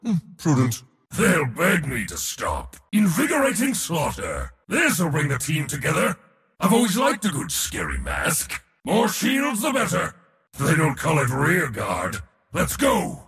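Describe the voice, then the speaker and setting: A man voicing a proud, boastful god of war for a video game, gravelly, pompous and theatrical in delivery. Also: a little high, huge pitch swings, a little slow, fairly bright tone, long reverb tail, full character voice. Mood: smug, amused. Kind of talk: video game character voice lines.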